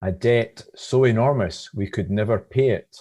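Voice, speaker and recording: Dark, mellow man reading a devotional. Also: lowish voice, moderate pace. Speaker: scripture meditation reader.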